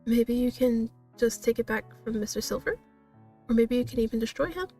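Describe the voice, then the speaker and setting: Earnest young spell-caster heroine, read by a woman, higher-voiced. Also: brisk, dark tone, breathy edge, far from the mic, soft background music. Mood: coaxing, determined.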